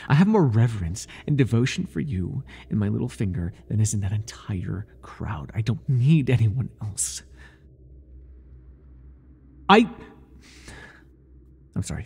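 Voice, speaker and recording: A man performs an obsessive high priest devoted to his god in an intimate audio roleplay. His voice is very breathy and rough, with lively, swooping intonation. He speaks in lofty, servile phrases, stammering and pleading with jealous devotion. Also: exaggerated voice. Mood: desperate, apologetic. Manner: servile and pleading, stammering, grandiose formal speech. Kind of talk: ASMR roleplay monologue, dramatic acting.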